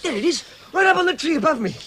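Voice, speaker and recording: An actor playing a timid, fretful hobbit in a radio fantasy drama, stammering and talking to himself. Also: male voice, very high-pitched, sing-song, racing, sharp tone, a little hiss or hum, full character voice. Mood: frightened, disgusted. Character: timid, fretful hobbit finding sudden courage. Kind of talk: full-cast radio fantasy acting.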